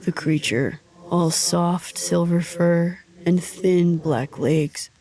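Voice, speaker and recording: A woman as a slow-spoken, haunted wanderer. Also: lowish voice, steady tone, dark tone. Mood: somber narration. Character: lost, haunted wanderer narrating their own story. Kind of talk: audio drama narration and acting.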